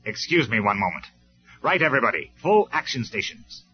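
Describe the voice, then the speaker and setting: A man voicing a calm male robot leader who speaks with quiet, measured authority in a storybook reading. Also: fairly low pitch, lightly acted. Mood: scornful. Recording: slightly dull lo-fi recording with faint music.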